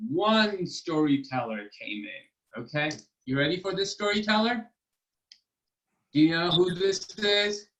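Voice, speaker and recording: A man with a high-pitched voice narrates a story for children. He uses simple words in an animated storytime style. He often checks in with his young listeners through short questions. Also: a little hiss or hum, poor audio.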